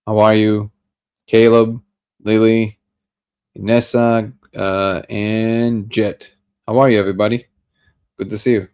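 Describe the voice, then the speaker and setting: Gravelly low-voiced man hosting a livestream. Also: unhurried, pauses often. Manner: relaxed, conversational, greets viewers by name. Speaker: livestream host. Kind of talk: casual live chat reading comments.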